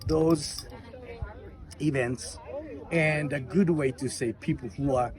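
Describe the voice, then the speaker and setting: A middle-aged Japanese man talks in a lively way in loosely phrased English. His voice sits a little high for a man. A crowd murmurs all around the outdoor mic. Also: slow. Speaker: taiko musician. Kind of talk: outdoor event interview. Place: outdoor memorial gathering.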